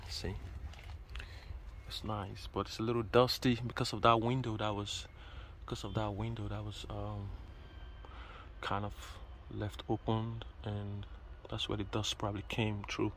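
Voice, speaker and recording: A man's voice: fairly low pitch, unhurried. Guy in his 30s showing off cars on his phone outside, hyped and chatty, noisy lot behind. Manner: excited, chatty, exclaiming over cars. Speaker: vlogger touring a car auction lot. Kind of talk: casual selfie vlog. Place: outdoor car auction lot and inside parked cars. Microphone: phone camera at arm's length. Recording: outdoor phone audio with background noise.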